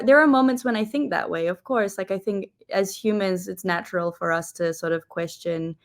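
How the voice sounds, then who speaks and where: A young woman raised in New Zealand speaks at an ordinary, middling pitch in an interview. She sounds earnest and reflective as she thinks her answers through. Now and then she drops in a short Filipino phrase. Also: fairly bright tone. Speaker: pageant contestant.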